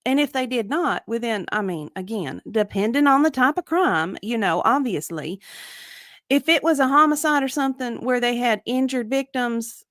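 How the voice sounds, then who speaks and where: A woman's voice: on the high side, lively intonation, normal speed, fairly bright tone, dry recording, studio quality. A woman voicing an evasive, matter-of-fact victim advocate giving clipped, hedging answers in a comedic deposition reading.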